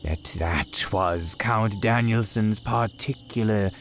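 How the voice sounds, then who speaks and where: Slow, grandiose, macabre cemetery keeper. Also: male voice, fairly low pitch, a little hiss or hum. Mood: slyly macabre. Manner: grandiose, archaic, drawn-out dramatic phrasing. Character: theatrical male cemetery keeper, macabre, courteous, gleefully sinister storyteller. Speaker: horror storyteller host. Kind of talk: old-time radio horror narration.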